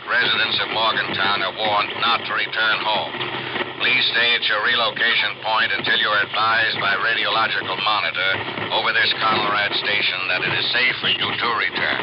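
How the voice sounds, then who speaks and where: An actor playing a grave radiological monitoring chief, giving a measured, solemn 1950s official warning over the radio. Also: male voice, lowish voice, heavy background noise, natural voice. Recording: dull old radio recording.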